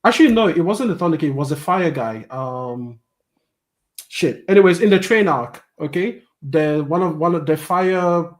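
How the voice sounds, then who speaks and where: A man with a fairly high voice hosts a gaming podcast in casual chat. He is animated and swears freely. He often breaks into laughter. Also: moderate pace.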